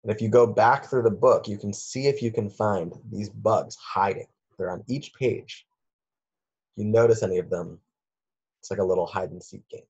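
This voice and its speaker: A man's voice: fairly low pitch, moderate pace, darkish tone, husky, muffled recording. A man reading a children's picture book aloud in simple phrases, with lilting questions for young listeners.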